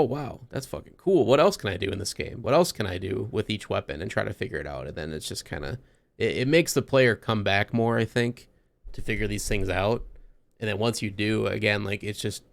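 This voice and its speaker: Gravelly-voiced man chatting on a podcast. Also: on the low side, dry recording. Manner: enthusiastic, rambling with restarts. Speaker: podcast host. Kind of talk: casual podcast chat.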